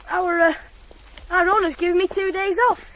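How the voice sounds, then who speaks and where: A woman's voice: very high voice, flat delivery, normal speed, fairly bright tone, a little hiss or hum. A woman playing an eager, shy teenage farm boy in a radio drama, hesitant and earnest. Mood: hopeful. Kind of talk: 1980s British radio drama acting.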